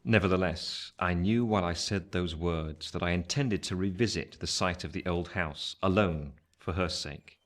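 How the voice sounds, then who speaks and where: Man, low-pitched: reflective older gentleman narrating his life in a literary radio drama, measured and wistful.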